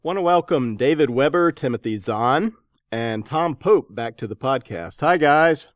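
A man's voice: mid-range voice, expressive, measured pace, dry recording, clean studio sound. A man hosting a podcast, introducing guests and putting interview questions to them.

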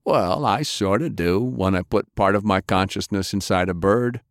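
A man voicing a gruff, frank and protective older male adventurer in an audiobook. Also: on the low side, normal speed, dry recording, studio quality, lightly acted.

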